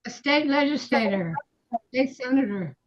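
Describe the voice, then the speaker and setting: A woman talking casually in a group conversation, heard through dull, low-fidelity audio. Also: animated, moderate pace, crowd noise.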